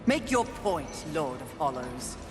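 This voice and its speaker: A woman's voice: low voice, very animated, slow, heavily acted. A woman playing a fierce, proud, impatient warrior in a video game, speaking in a curt, commanding way. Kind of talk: dramatic video game voice acting. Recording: echoey, long reverb, music underneath.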